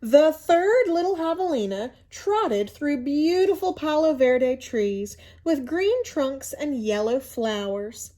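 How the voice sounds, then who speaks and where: A woman reading a storybook aloud to children in an expressive, teacherly way, pausing to explain and ask questions. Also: sits high. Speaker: school media coordinator reading to children.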